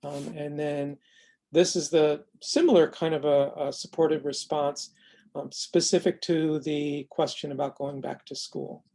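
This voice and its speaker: An American man presenting an unscripted explanatory demo of a software tool, speaking in a measured, halting way with frequent pauses. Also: fairly high pitch, fairly even intonation.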